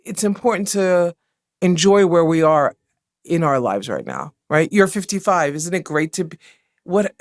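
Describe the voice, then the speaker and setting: A woman's voice: lowish voice, very animated, normal speed, no echo, clean studio sound. A woman appearing as a podcast guest for a restaurant, talking in a friendly, upbeat, promotional way. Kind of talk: casual interview chat.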